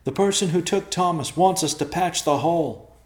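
A man's voice: a little high, normal speed, darkish tone, roomy sound, voice pushed up, lightly acted. A man voicing a brave, eager young man in a fantasy story, urgent and calling out. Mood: urgent shouting.

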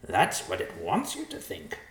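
A man voicing an excitable, fearful, pleading vulture in a storybook, with a shrill, strained voice. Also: measured pace, reverberant. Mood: warning. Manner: exclamatory, repeats words in agitation. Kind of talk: character voice in storybook narration.

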